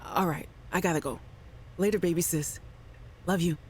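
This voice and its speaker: An actress playing a cheerful, affectionate young scientist leaving voice messages for a little sister in a video game. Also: female voice, on the low side, moderate pace, a little mellow, a little hiss or hum, lightly acted. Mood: sympathetic, warm. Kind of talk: scripted audio message voice acting.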